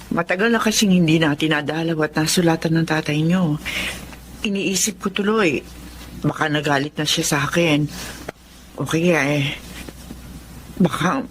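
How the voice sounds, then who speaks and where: An actress playing a caring, worried working-class mother in a Filipino radio drama, gentle and motherly. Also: low-pitched, normal speed, long pauses, lightly acted. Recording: faint background noise.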